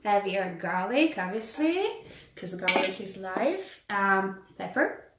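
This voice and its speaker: Slow-talking Israeli woman cooking live. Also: mid-range voice, lively intonation, echoey room, background noise. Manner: chatty, reads and answers viewer comments, frequent ums. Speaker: tour educator hosting a live cooking stream. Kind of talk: casual live cooking demo. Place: home kitchen.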